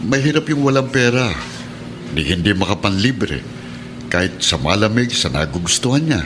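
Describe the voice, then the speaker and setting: A man's voice: fairly low pitch, big pitch swings, normal speed, background noise. An actor playing a kind, protective middle-aged Filipino policeman and adoptive father in a radio drama. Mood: fond. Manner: earnest, encouraging, heated when challenged.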